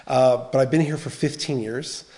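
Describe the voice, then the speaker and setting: An earnest middle-aged man with an American accent gives a teaching talk in church. He often restarts his phrases as he goes. His voice sits at an ordinary, mid-level pitch for a man. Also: steady tone, moderate pace, warm tone. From United States. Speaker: biblical counseling trainer.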